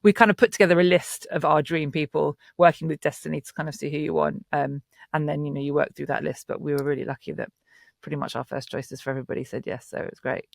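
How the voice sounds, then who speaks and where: A man's voice: on the high side, steady tone, fast, creaky, dry recording, studio quality. A man talking enthusiastically and in a rambling way in a casual panel conversation about his production work. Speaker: audio drama producer discussing casting.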